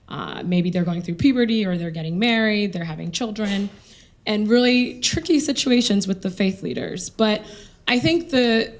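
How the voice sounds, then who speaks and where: A woman on a conference panel, speaking thoughtfully with occasional fillers. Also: mid-range voice. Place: conference hall.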